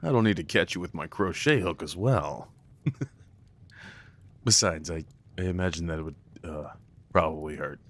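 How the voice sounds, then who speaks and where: A man's voice: low voice, measured pace, pauses often, fairly bright tone. A man playing an affectionate, playful boyfriend in an intimate ASMR roleplay, talking tenderly and rambling with light teasing. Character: affectionate, playful, crafty boyfriend cuddling his partner.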